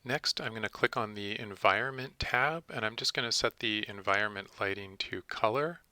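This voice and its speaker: A man narrating a software tutorial as its presenter, methodically explaining things step by step. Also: lowish voice, moderate pace, dry recording. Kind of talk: instructional screencast narration.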